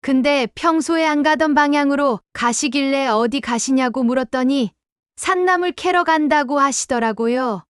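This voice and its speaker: A talkative village shopkeeper with a high voice, played by a woman in a radio drama. She answers readily and chats freely. The recording is close and dry. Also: normal speed, no echo, natural voice. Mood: chatty. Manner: chatty and forthcoming. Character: middle-aged woman shopkeeper, chatty and helpful. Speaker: village shop owner. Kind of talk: audio drama acting.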